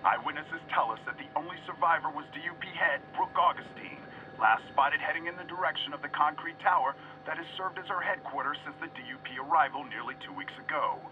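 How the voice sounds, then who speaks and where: An actor playing an earnest American TV field reporter in a video game, with measured, urgent, formal delivery. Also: male voice, fairly high pitch, lo-fi sound, slight background noise, natural voice. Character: earnest male television news field reporter. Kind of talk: formal live news report. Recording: band-limited TV broadcast, music behind.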